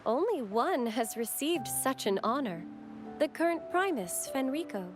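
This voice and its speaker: High-voiced, polite young acolyte. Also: female voice, very animated, measured pace. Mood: earnest, helpful. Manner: courteous, explanatory. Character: polite, helpful young female temple acolyte. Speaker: acolyte guiding visitors. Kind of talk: scripted video game dialogue. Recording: game music behind the voice.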